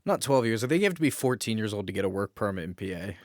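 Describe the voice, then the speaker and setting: A man's voice: on the low side, animated, rapid-fire, no echo, clean studio sound. A man co-hosting a podcast, chatting casually in a wry, informal way with casual swearing. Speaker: podcast co-host. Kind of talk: casual chat.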